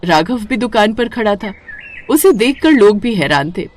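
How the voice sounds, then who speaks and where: Animated female storyteller with lively intonation. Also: mid-range voice, normal speed. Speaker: narrator. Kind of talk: scripted storytelling narration for Hindi cartoon moral stories.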